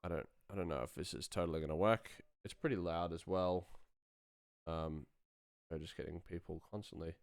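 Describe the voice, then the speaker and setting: A man's voice: low voice, fairly even intonation, moderate pace, clear, resonant tone, dry recording, clean studio sound. A man hosting a live stream, chatting informally and thinking aloud as he works on sound design. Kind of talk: casual tutorial chat while working.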